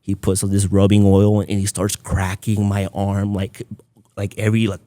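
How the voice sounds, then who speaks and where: Man, Spanish speaker, relaxed dark tone, dry close sound, casual podcast storytelling. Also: on the low side, moderate pace, dry recording. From Southern California, United States. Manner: informal, peppered with "like" and Spanish phrases. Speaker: podcast guest, content creator.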